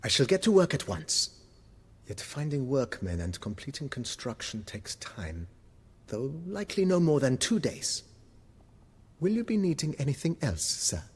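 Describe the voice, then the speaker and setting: A man playing a deferential, fussy butler in a video game, with a breathy, husky voice and formal, florid, obsequious speech. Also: mid-range voice, big pitch swings, normal speed, in a large hall. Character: deferential, fussy, eloquent male butler of an estate.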